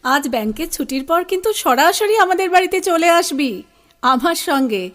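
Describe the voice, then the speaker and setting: An actress playing Moni, a brisk young woman who teasingly bosses around a younger cousin. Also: extremely high pitch, expressive, a bit bright. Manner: teasing, bossy. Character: brisk young woman Moni, caring older sister type.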